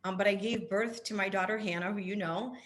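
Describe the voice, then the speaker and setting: A woman's voice: medium pitch, fairly even intonation, brisk, slight background noise. A woman born in Poland whose first language is Polish, a business founder telling her story in an interview, earnest and prone to restarts. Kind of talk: interview conversation.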